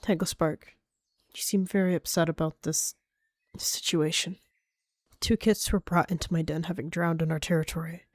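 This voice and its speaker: A woman's voice: low-pitched, fairly even intonation, moderate pace, no room sound, clean studio sound. Woman as a composed, dismissive cat clan leader. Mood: calm, regretful. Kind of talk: dramatic animal roleplay.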